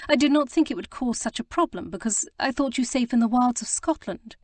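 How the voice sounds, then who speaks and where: A woman voicing a flustered, earnest young gentlewoman who stammers and rushes to explain herself. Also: on the high side, animated, no room sound, voice pushed up. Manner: breathless, stammering, eager to explain. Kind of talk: audiobook character reading.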